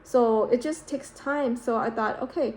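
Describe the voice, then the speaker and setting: A woman's voice: fairly high pitch, a little slow, a little mellow, a little hiss or hum. Reflective woman rambling in a casual vlog. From United States, California. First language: Vietnamese. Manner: rambling, self-reflective, many fillers and restarts. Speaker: vlogger. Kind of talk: casual talking-head vlog.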